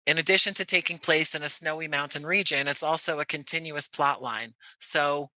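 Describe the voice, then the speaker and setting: An American man talking conversationally as an interview guest, choosing his words in an articulate, measured way. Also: on the high side, steady tone, sharp tone, no echo, low-quality recording. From Jersey City, United States. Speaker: podcast guest, audio play creator.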